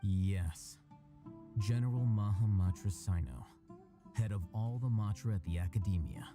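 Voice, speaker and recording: An actor playing an aloof, sardonic male scholar in a video game, speaking calmly and precisely with dry irony. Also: fairly low pitch, a little slow, over music, lightly acted. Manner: calm, dry, ironic, precise. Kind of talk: video game cutscene voice acting.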